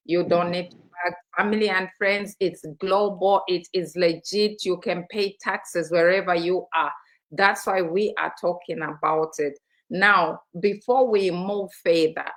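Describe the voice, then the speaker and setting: A woman's voice: lowish voice, rough recording. A woman from Zambia co-hosting a live-streamed motivational talk show from a studio, speaking enthusiastically and persuasively with many fillers. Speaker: co-host.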